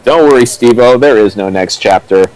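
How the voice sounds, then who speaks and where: Man, mid-range pitch, dry delivery, critical, casual co-commentary. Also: normal speed, a little mellow, poor audio.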